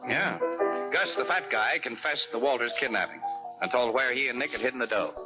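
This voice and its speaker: A man's voice: medium pitch, fairly quick, close to an everyday voice. An actor playing an easygoing, slangy newspaperman in a 1940s radio drama. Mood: relaxed. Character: easygoing newspaperman recapping a solved case. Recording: muffled old radio recording with music.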